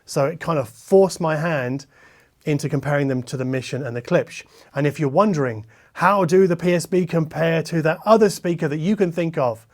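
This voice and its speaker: Higher-pitched man reviewing gear conversationally. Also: moderate pace. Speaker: host, hi-fi reviewer. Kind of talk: conversational product review to camera. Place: treated listening room, six by five meters.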